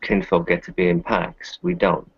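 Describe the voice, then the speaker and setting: Man as worldly doctor adviser: deep, gravelly, gently patronising, tabletop game, muffled lo-fi audio. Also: level tone, moderate pace, full character voice. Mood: gently correcting. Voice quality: gravelly, husky. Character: worldly kinfolk doctor, frank, wry adviser. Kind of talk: improvised tabletop roleplay dialogue. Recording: dull lo-fi voice recording.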